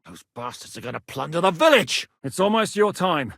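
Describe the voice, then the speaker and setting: An actor playing a gruff, blunt medieval war captain who shouts battle orders. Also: male voice, a little high, sing-song, normal speed, no echo, clean studio sound, full character voice. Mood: shouting orders. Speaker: military commander. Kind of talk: game voice lines.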